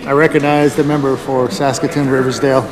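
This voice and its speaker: A man's voice: medium pitch, little pitch movement, fairly quick, crowd noise. A man presiding over a legislature, reading out an amendment in a formal, procedural way. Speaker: Speaker of the legislature. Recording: distant, long reverb in a chamber.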